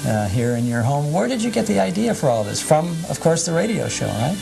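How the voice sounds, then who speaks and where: A man's voice: on the high side, big pitch swings, a little fast, gravelly, warm tone, soft background music, rough recording. A man hosting a nostalgia TV show in an informal, folksy, chatty way with hesitant phrasing. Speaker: host.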